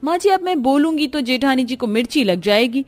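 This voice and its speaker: A woman's voice: high voice, animated, brisk, darkish tone, faint music behind, pitched above the performer's own voice. A woman voicing a haughty, spoiled young rich daughter-in-law in a cartoon family drama, whiny and scornful. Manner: whiny, mocking, scornful complaining.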